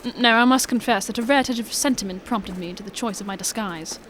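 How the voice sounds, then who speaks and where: A woman acting a shrewd male detective in an amateur audio drama, brisk and genteel with old-fashioned phrasing. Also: mid-range voice, a bit bright, lightly acted. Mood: assured, wry. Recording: clearly noisy recording.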